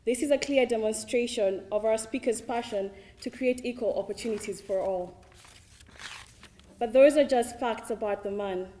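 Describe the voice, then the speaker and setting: A woman's voice: a little high, warm tone. Kenyan young woman reading a formal introduction. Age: young adult. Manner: measured, read from a script. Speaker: student introducing the speaker.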